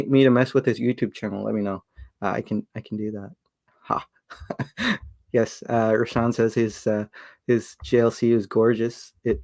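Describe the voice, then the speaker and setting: A man from Sri Lanka hosting a casual livestream, reading the chat aloud and talking in a halting way with many fillers and restarts. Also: medium pitch. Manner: hesitant, many fillers and restarts, chuckles often.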